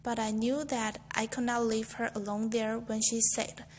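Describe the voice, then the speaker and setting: Young female student, careful earnest recitation, slightly high voice, light background noise. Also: flat delivery, normal speed. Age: young adult. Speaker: student reciting a famous speech. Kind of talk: memorized speech recitation.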